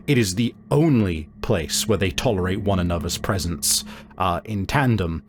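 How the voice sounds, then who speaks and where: A man's voice: fairly low pitch, slight background noise. A man improvising narration as game master, explaining things emphatically.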